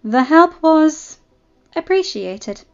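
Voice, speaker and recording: A woman's voice: sits high, normal speed, a bit bright, lo-fi sound, pitched above the performer's own voice. Woman playing a tough, bossy young female leader. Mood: restrained gratitude. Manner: firm, commanding.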